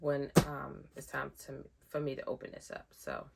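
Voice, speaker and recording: A woman's voice: sing-song, moderate pace, gravelly, noisy background. A woman vlogger, chatting casually through a sneaker unboxing, a little nasal from a head cold. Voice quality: deep voice. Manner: chatty, rambling with fillers.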